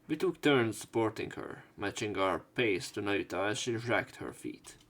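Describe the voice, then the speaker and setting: A man's voice: lowish voice, expressive, normal speed, a bit bright. A man hosting a let's play, reading game text aloud and adding casual, joking commentary.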